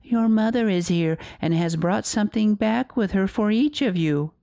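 Man putting on a wolf's falsetto mother-goat voice. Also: normal speed, creaky, mellow, no room sound, studio quality. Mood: sweetly coaxing. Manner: falsetto, coaxing. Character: menacing wolf imitating a sweet mother goat. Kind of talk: storybook character voice.

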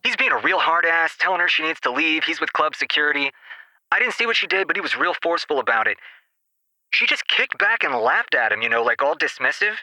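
A rattled, slangy clubgoer calling a radio show. His voice is high for a man and extremely bright and sharp, with a very dry sound. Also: sing-song, a little fast, dry recording, exaggerated voice, poor audio. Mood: excited, amazed. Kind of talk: caller acting in audio drama.

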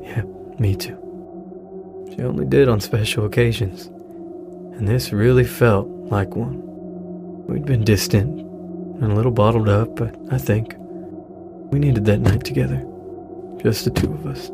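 A man playing a grieving, apologetic middle-aged widowed father with a halting delivery in a naturalistic audio drama. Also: on the low side. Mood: wistful, subdued. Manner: halting, hesitant, sighing delivery. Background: music.

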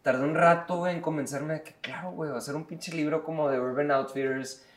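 A man's voice: fairly high pitch, fairly even intonation, quick pace, reverberant. A man with a Mexican Spanish accent, co-hosting a podcast, joking and sarcastic in a slangy casual chat with friends. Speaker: podcast co-host. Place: studio bar set.

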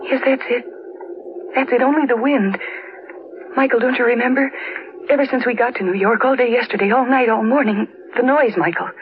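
An actress playing a frightened wife in a deserted city, halting and urgent, in 1940s radio drama style. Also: female voice, a little high, fairly even intonation, lightly acted. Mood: dread. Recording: noisy, muffled old radio recording.

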